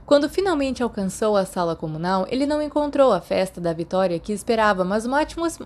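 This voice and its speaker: A woman's voice: medium pitch, expressive, fast, a little mellow. A woman with a Brazilian accent reading scripted fiction aloud as a narrator. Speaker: podfic narrator.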